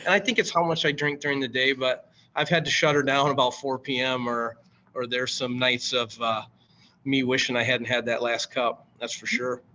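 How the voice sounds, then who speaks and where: A man in his late forties with an American accent and a bright, forceful voice. He tells work stories in a casual, familiar way, as a guest in conversation. His pitch sits in the middle of the male range. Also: fairly even intonation, normal speed, muffled recording, slight background noise. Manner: colloquial, familiar, calls listener man and brother. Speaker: podcast guest, firefighter and coffee company director.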